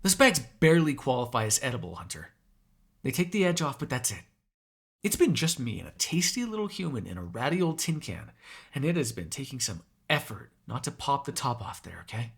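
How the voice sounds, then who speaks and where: A man's voice: medium pitch, sing-song, fairly quick, gravelly, slightly rough, full character voice. A man voicing a hungry, cranky, flirtatious male vampire in an ASMR audio roleplay, sarcastic and given to swearing. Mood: angry, cranky. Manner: sarcastic, swearing, moody, shifting from murmurs to exasperated outbursts.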